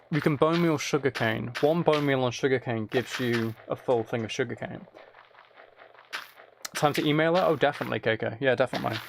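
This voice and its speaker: A man's voice: medium pitch, creaky, noisy background. A man live streaming, giving casual gameplay commentary and musing aloud as he chats to viewers.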